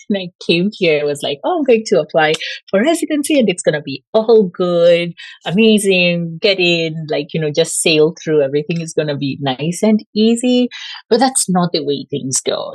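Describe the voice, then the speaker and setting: A woman's voice: lowish voice, a little mellow, dry recording, poor audio. A woman from Kenya with a Kenyan accent, a physician guest talking casually in an interview and using lots of "like" fillers. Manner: chatty, frequent "like" fillers. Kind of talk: conversational interview.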